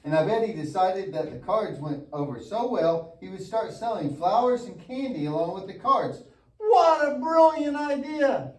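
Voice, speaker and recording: Man playing a high-voiced goofy boy puppet. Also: expressive, normal speed. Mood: excited, clowning. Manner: exaggerated, wisecracking. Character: goofy, lazy, mischievous young boy puppet. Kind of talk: church puppet show acting. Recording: echoey room, distant mic.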